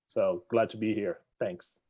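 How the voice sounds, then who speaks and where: A man's voice: fairly low pitch, unhurried, breathy edge. Congolese man with a French accent. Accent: French-speaking Congolese. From Democratic Republic of the Congo. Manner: animated, self-deprecating, laughs while telling stories. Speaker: guest, real estate executive. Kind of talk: casual storytelling in an interview.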